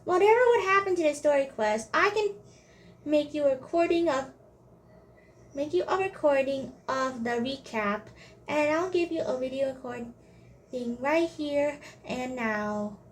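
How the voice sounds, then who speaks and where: High-voiced woman doing casual game commentary. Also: animated, a little slow, a little hiss or hum. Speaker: gameplay video creator. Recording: game music behind the voice. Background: game music.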